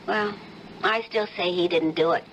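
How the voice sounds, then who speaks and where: Fussing, doting radio-drama wife. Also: female voice, lowish voice, moderate pace, dull audio, a little hiss or hum. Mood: troubled, reproachful. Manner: homely, gently nagging. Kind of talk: old-time radio drama acting.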